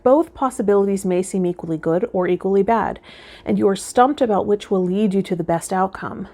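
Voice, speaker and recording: A woman hosting a tarot channel, talking and reading guidance aloud in a measured, instructional way. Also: mid-range voice, a little mellow. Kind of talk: vlog talk with reading aloud from tarot guide.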